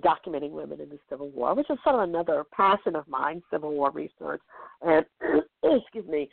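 Hoarse, gravelly woman on a phone line. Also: lowish voice, no echo. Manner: enthusiastic, emphatic. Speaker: genealogist and podcaster, guest. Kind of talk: interview answers. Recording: tinny phone line, dry.

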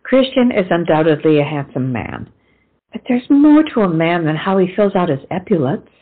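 A blunt, loyal young lady of the Revolutionary War played by a woman, scolding and theatrical. Her voice is husky and very animated, in a lo-fi, narrow-sounding recording of a stage comedy. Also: fairly low pitch, normal speed. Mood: firm, persuading.

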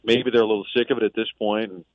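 A man's voice: lowish voice, fairly even intonation, very fast, no echo. A man, a sports reporter calling in from a boat, talking through a game preview in casual conversation. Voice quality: conversational, analytical. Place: on a boat in a harbor. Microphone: phone line. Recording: tinny phone line.

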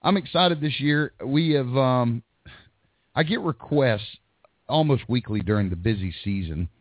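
A man's voice: mid-range voice, animated, a little slow, breathy edge, no echo. Chatty male radio host on a tinny line. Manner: chatty, laughs often, frequent ums. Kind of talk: casual call-in radio talk. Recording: dry, tinny phone-like line.

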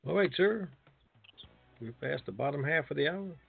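A man's voice: on the high side, animated, moderate pace, vocal fry, slightly rough. A man co-hosting a call, speaking casually with an announcer-like turn. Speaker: call co-host. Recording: lo-fi phone line, faint music.

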